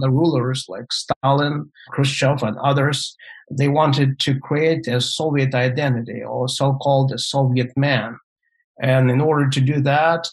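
Moldovan-born pastor guy, russian's his first language, middle pitched, slow and halting with lots of uhs. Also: poor audio. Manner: measured, halting with frequent fillers. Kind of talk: interview answers, storytelling.

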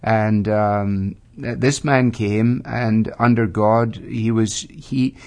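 A Scottish man in his fifties tells his story as a guest pastor. His voice has a gravelly edge, and he speaks in a measured, reflective way, pausing often to find his words. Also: lowish voice, steady tone, a little mellow. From Scotland. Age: 50s. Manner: measured, thoughtful, with frequent hesitations.

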